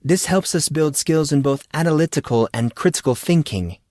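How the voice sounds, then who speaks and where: A man's voice: on the high side, moderate pace, darkish tone, no echo, clean studio sound, voice pushed up, close to an everyday voice. Man playing a precise, scholarly history teacher. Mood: earnest, lecturing. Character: formal, pedantic, genial male history teacher.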